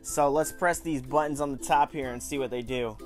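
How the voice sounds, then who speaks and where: A man's voice: medium pitch, fairly even intonation, moderate pace, soft background music. A man in his twenties hosting a casual toy review, chatty and enthusiastic in an informal way. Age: 20s. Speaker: toy review show host. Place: small room at home.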